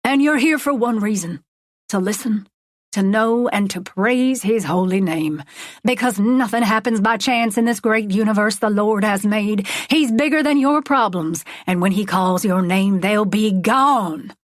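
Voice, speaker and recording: A woman voicing a folksy, charismatic male megachurch preacher, preaching with drawn-out words and dramatic pauses. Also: medium pitch, no echo, studio quality, close to an everyday voice. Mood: rousing. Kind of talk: audiobook character voice.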